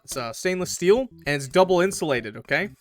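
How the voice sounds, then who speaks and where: A man chatting and commentating on a livestreamed game in an excitable, playfully combative way, bantering with viewers and swearing freely. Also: high voice, brisk, soft background music. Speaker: live streamer playing a game. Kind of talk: animated casual livestream chat and game commentary.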